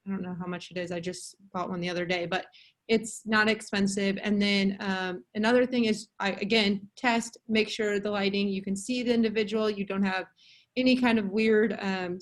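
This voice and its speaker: Chatty woman co-hosting a webinar. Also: medium pitch. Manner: chatty, practical. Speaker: webinar co-host. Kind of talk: casual tips talk. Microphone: video call.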